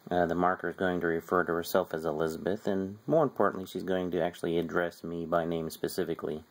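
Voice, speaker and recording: A man's voice: low-pitched, little pitch movement, quick pace. A man casually demonstrating his hobby gear in a home video.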